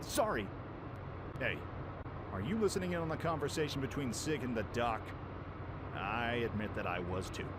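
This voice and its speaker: A man's voice: medium pitch, lively intonation, normal speed, long pauses, very noisy, close to an everyday voice. A man playing a brash young pirate lord in a fan radio play, casual and cocky in manner. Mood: sheepish, puzzled. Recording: music behind the voice.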